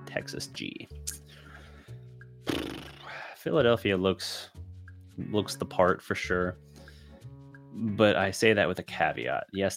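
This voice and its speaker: A man with a very deep voice runs his own sports podcast, reading out listener questions and talking them through. His voice has turned scratchy and a little raspy after a long session. Faint music plays behind him. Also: a bit bright. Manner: thinking aloud, with restarts and fillers. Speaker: podcast host.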